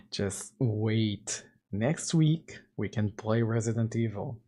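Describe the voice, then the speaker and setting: A man's voice: fairly low pitch, expressive, slow, dark tone. A man casually chatting with his live-stream audience, laughing often and teasing them in a chatty way.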